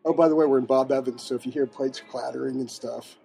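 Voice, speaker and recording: A man hosts a casual podcast from a restaurant booth. His tone is mellow and relaxed, and he chats loosely. Faint music plays in the background. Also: mid-range voice, vocal fry. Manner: chatty and quick to laugh. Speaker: podcast host.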